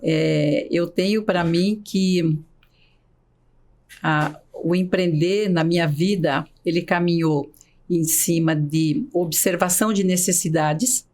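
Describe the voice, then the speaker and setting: An older woman, a long-serving nurse, speaking in a measured, reflective way as an interview guest. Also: on the low side, a little mellow. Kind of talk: casual interview chat.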